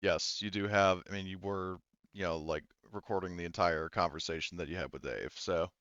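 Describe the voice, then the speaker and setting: Smooth, low-voiced man as game master. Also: fairly even intonation, normal speed, a bit bright, no room sound, highs cut off. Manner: rambling, explanatory, conversational. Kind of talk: running a tabletop roleplaying game, improvised.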